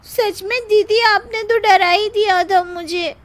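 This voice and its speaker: A woman voicing a bubbly, mischievous young younger sister in a Hindi cartoon story, playful and excitable. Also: extremely high pitch, measured pace, voice pushed up. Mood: playful, teasing.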